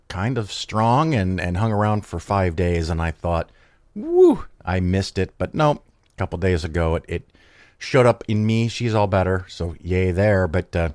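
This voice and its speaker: A man's voice: low-pitched, a little mellow, no room sound, clean studio sound. A man hosting his own show and talking casually, rambling and correcting himself while he has a cold. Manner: rambling, self-correcting, chatty. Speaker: podcast host. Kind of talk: casual solo talk.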